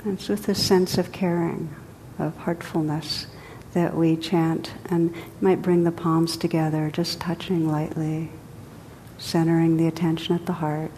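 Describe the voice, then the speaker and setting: A woman teaching a guided meditation, speaking gently and unhurriedly in short phrases with pauses between them. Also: little pitch movement. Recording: echoey hall, rumbly background noise.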